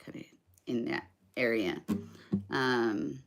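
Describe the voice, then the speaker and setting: A woman's voice: a bass voice, slow pace, gravelly, faint music behind. A woman chatting casually with viewers on a live stream between songs, rambling and hesitant with lots of fillers. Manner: chatty, self-deprecating. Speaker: singer-songwriter live streamer.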